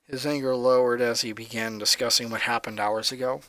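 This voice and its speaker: A woman's voice: a bass voice, steady tone, brisk, fairly bright tone, dry recording. Woman narrating in a dry recording. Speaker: story narrator. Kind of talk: scripted fan fiction narration.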